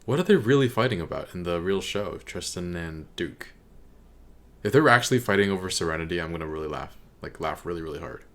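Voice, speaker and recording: A man giving casual, unscripted commentary as a reaction video host, halting as he thinks aloud. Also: low-pitched, expressive, a bit bright.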